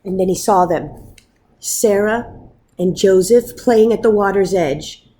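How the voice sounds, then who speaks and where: A woman reading a children's story aloud in an expressive, dramatic manner with measured pauses. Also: mid-range voice, a little hiss or hum. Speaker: storybook reader.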